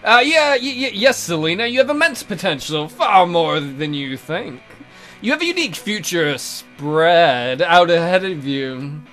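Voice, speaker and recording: A man's voice: on the high side, sing-song, a little slow, pushed and bright. A man co-hosting a let's play, acting out an enigmatic tutor's lines from a visual novel in a jokey way. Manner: jokey ad-libbing. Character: enigmatic male tutor hinting at secrets. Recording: guitar music behind.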